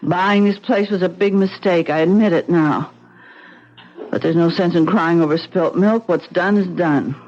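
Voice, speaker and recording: A frightened pleading wife. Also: female voice, fairly low pitch, a little mellow. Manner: anxious, pleading, slow. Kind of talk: 1970s radio drama acting. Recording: dull, faintly hissy old radio broadcast.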